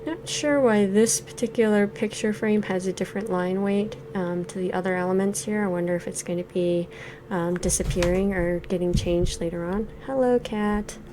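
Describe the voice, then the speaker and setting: A woman's voice: medium pitch, moderate pace, hardly any pauses, warm tone, slight background noise. A woman in her forties giving thoughtful, hesitant let's play commentary with many fillers while reviewing a game. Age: 40s.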